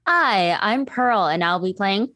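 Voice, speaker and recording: A woman's voice: mid-range voice, expressive, measured pace, a bit bright, no echo, clean studio sound. A woman guest introducing herself on a podcast in a bubbly way.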